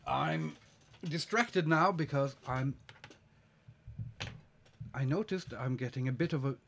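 A man in his forties with a slight cold, chatting slowly and hesitantly with a live audience while he draws at his desk, with plenty of ums and uhs. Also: medium pitch, fairly bright tone. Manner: slow, musing, hesitant with many fillers, reads chat aloud. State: sniffly with a cold. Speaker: artist streaming live. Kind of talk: casual live-stream chat while drawing. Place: home studio desk, indoors.

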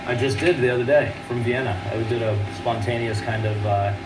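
A man's voice: medium pitch, steady tone, brisk, music in the background. A man from Bosnia, a band guest making dry jokes in a casual interview. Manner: dry, joking. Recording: roomy, distant, noisy webcast.

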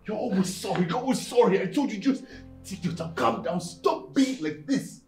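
An animated male voice as an exasperated young boyfriend in a drama. He scolds and repeats himself in an echoey room, faint music behind. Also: high-pitched, moderate pace. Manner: scolding, repetitive. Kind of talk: screen drama acting.

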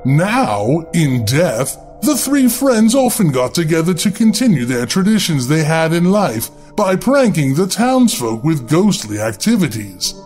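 A man's voice: fairly high pitch, sing-song, a little slow, few pauses, darkish tone. A man narrating a scripted horror story in a dramatic storytelling style. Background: background music.

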